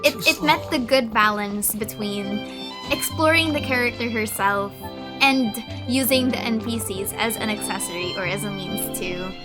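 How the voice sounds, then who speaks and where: A woman's voice: mid-range voice, normal speed. A woman hosting a gameplay video, giving casual, effusive reactions and often repeating her phrases. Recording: game music under the voice.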